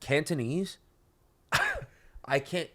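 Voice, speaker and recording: A man's voice: on the high side, huge pitch swings, very slow, a bit bright. A young man hosting a podcast, chatting casually with lots of swearing and stumbling restarts. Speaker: podcast host. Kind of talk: casual chat.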